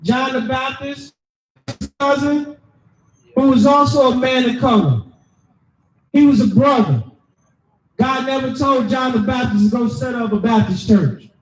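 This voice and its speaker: High-pitched shouting preacher, echoey and distant. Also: male voice, a little slow, pauses often, faint music behind. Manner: loud, emphatic, preaching to a crowd. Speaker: street preacher. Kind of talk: shouted sermon with scripture reading.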